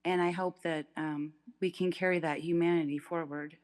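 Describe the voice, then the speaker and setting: A woman's voice: lowish voice, fairly even intonation, moderate pace, darkish tone. A woman from the United States giving an earnest, thoughtful comment as an audience member, unscripted. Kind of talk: spontaneous, reflective comment. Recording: reverberant hall sound.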